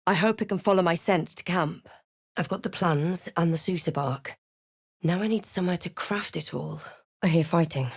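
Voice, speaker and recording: Low-voiced, wry fantasy adventurer heroine. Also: female voice, darkish tone, no echo, clean studio sound. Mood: watchful. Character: wry, guarded female adventurer hero of a fantasy game. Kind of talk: scripted game voice lines, part first-person narration.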